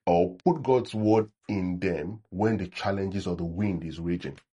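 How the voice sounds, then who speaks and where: Low husky Nigerian male pastor. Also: a little slow, dark tone, lo-fi sound. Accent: Nigerian English. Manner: conversational preaching, frequent "right?" check-ins; acts out sample prayers in a mocking tone. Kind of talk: sermon.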